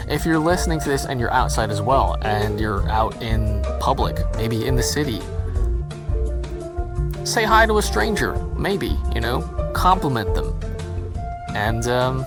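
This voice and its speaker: A man's voice: medium pitch, big pitch swings, a little slow. A man who made the podcast, giving a solo break announcement full of rambling, friendly advice. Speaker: podcast creator. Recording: music clearly behind the voice.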